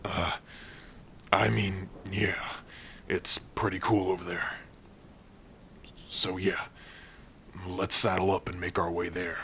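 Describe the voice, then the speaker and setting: Gentle orc sweetheart, played by a man for ASMR roleplay: very low, unhurried, soft-spoken, gruff but shy and smitten. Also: flat delivery, slight background noise, exaggerated voice. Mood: tender, flustered. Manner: soft-spoken, hesitant, with pauses and hums. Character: gruff but tender young male orc, shyly smitten. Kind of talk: ASMR roleplay addressed to the listener.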